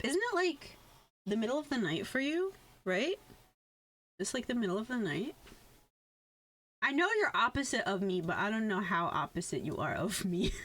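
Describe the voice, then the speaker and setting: A young woman streamer with a fairly high, very bright and forceful voice. She chats casually to her live viewers in an animated, rambling way, with plenty of profanity. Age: young adult. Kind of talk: casual chat on a game livestream.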